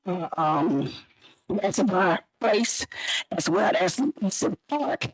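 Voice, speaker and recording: Hoarse middle-aged councilwoman, husky and dry, earnest and rambly at a city hearing. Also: mid-range voice, very animated, takes it slowly, dry recording, rough recording. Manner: earnest, rambling support speech with many fillers. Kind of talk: public meeting testimony.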